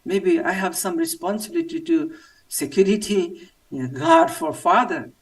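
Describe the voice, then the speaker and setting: High-voiced Nepali man, halting testimony. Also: sing-song, creaky, recorded from a distance. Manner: halting non-native English with many fillers. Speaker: church member giving testimony. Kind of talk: personal testimony talk.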